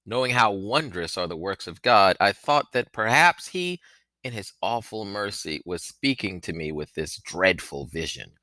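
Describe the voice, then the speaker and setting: A man reading a storybook aloud as an audiobook narrator, with theatrical, expressive delivery. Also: lowish voice, normal speed, dry recording. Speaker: audiobook reader.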